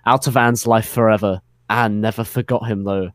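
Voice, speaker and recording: A man's voice: on the low side, fairly even intonation, moderate pace, dry recording. A man narrating a comic dramatic reading of fan fiction with mock solemnity.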